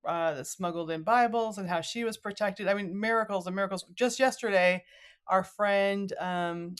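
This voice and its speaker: A woman's voice: medium pitch, normal speed, smooth. A middle-aged woman hosting a podcast, talking casually with her guest in a conversational interview style. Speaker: podcast host.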